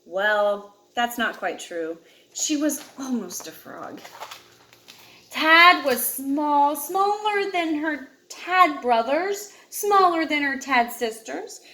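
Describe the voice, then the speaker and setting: A woman reads a picture book aloud with dramatic emphasis on key words. Her voice sits fairly high and swings widely in pitch. The room adds a noticeable echo around her expressive storytelling. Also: slow. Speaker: storyteller reading aloud to a child.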